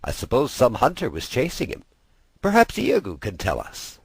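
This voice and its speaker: Eager, knowing storybook lad, acted by a male narrator, husky raised voice, emphatic explanations. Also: medium pitch, wildly swooping pitch, moderate pace, no echo, voice pushed up, heavily acted. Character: eager young boy explaining to his sister. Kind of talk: storybook character voice.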